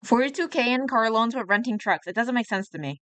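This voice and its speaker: A woman's voice: fairly high pitch, expressive, a little fast, a crowd in the background. A young woman streaming live, chatting casually about herself and reacting to a video, often laughing and poking fun at herself.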